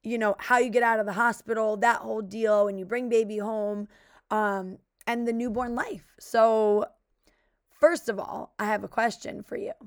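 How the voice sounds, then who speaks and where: Raspy young woman co-host; casual and chatty, recorded dry and close. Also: a little high, normal speed, dry recording. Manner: chatty, many "like" fillers. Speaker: podcast co-host. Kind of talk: casual chat.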